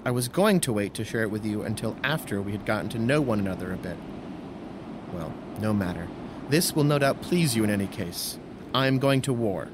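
A man's voice: lowish voice, lively intonation, brisk, background noise, natural voice. An actor playing an earnest young Roman nobleman and officer in an audio drama, speaking with formal diction. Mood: resigned. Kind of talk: full-cast audio drama acting.

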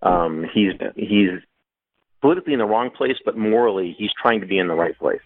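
Low-voiced man on a phone line. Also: expressive, brisk. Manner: explanatory, thoughtful, many fillers. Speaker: author guest. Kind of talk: casual panel discussion.

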